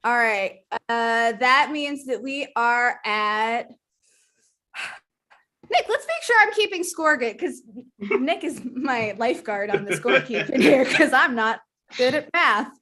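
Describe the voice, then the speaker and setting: A woman's voice: a little high, expressive, slow, bright, forceful tone. A woman guest-hosting a trivia game show over a video call, chatty, giggly and self-deprecating.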